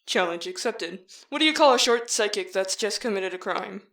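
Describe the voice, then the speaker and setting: A woman playing a calm, determined young human leader in an audio drama, measured, sincere and reassuring. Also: mid-range voice, recorded from a distance. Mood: playful. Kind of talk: amateur audio drama acting.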